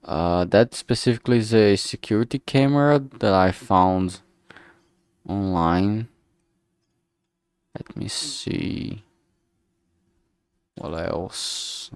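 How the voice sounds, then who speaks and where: A man streaming as he works, thinking aloud casually in a halting way and muttering to himself. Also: lowish voice, clear, resonant tone, dry recording, clean studio sound. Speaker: streamer making a visual novel. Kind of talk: casual think-aloud art livestream commentary.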